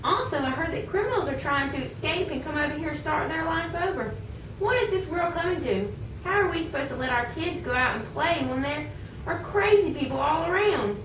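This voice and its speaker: Woman playing an indignant high-voiced housewife. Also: lively intonation, fairly quick. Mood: indignant, worried. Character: indignant, fearful housewife hostile to newcomers. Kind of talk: amateur puppet show dialogue. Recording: echoey room, distant mic, clear noise.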